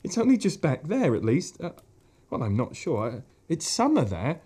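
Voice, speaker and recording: A polite, slightly puzzled young girl, read by a man in a storybook, barely changed from the reader's own voice. Dark, husky tone with a lively, rising lilt. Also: fairly high pitch, moderate pace, pitched above the performer's own voice, full character voice. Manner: plain and matter-of-fact, a little puzzled.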